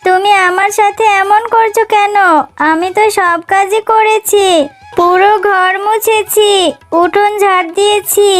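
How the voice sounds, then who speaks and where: A woman as a very high, plaintive little girl fox. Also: a bit bright. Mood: pleading, hurt. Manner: plaintive, pleading. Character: sad, meek little girl fox, mistreated stepdaughter. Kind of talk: children's cartoon voice acting.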